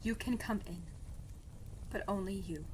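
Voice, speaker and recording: A woman's voice: medium pitch, a little slow, a little mellow, a little hiss or hum, close to an everyday voice. A woman playing a stubborn, sardonic young princess in an audio drama, speaking in a dry, defiant way. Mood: sullen, uneasy. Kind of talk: audio drama podcast acting.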